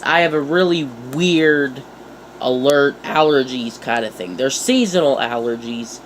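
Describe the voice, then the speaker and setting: A man's voice: fairly high pitch, a little hiss or hum. A young man with a stuffy nose from a cold, rambling casually and jokingly to his channel viewers. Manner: rambling, jokey, self-mocking asides. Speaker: YouTuber. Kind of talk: casual vlog talk.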